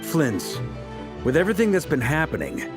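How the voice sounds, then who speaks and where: A man's voice: on the high side, huge pitch swings, moderate pace, over music. A man voicing a laid-back, wry and confident commander in a video game. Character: easygoing seasoned male commander, wry and confident. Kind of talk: game dialogue acting.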